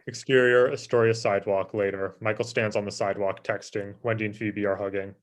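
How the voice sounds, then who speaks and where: A man's voice: lowish voice, little pitch movement, fairly quick. A man narrating screenplay stage directions as a scripted reading.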